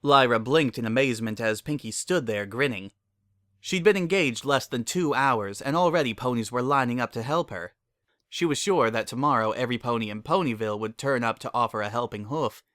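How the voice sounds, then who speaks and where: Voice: medium pitch, a little fast, a bit bright, no echo, clean studio sound. A narrator giving a scripted dramatic reading of a story.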